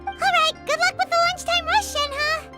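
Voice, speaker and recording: Squeaky, excitable little fairy girl. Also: moderate pace. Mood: delighted. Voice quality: squeaky, childlike. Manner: bubbly, speaks of herself in the third person. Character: tiny excitable floating fairy companion, childlike girl, cheeky glutton. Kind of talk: animated game dialogue. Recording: game music behind the voice.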